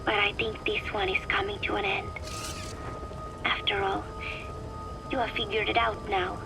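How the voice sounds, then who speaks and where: An actress playing a wistful young woman, speaking softly and deliberately in an intimate taped diary for a video game. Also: medium pitch, little pitch movement, creaky, over music, close to an everyday voice. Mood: bitter, sorrowful.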